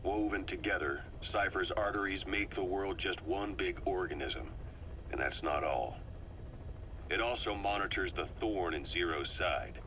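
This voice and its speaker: Shrewd veteran spy, deep voice, forceful edge, coolly composed, deliberate dramatic pauses, video game briefing. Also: male voice, rough recording. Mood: sober, confiding. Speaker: intelligence operative briefing an old comrade. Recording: faint noise, cassette tape briefing.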